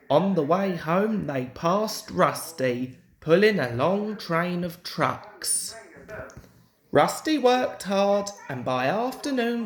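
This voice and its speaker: A woman reads a children's story at a slow, measured pace. Her voice sits a little low, and the recording is echoey and roomy. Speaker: storybook reader.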